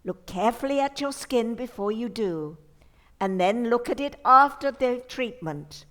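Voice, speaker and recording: A woman playing an earnest, reassuring beauty authority, reading an old-time radio advertisement in a measured, persuasive way. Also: a little high, expressive, fairly bright tone.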